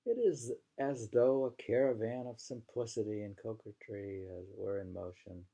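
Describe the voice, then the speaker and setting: A man's voice: fairly low pitch, moderate pace, warm tone. A man reading poems aloud and chatting casually about them, with a reflective, savoring delivery. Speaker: poetry reader. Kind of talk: poetry reading with casual commentary.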